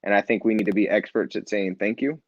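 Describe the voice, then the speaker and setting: A man, a nonprofit founder, telling his story in an interview as an earnest, animated storyteller who acts out the people he quotes. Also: on the low side, quick pace, no room sound, dull audio.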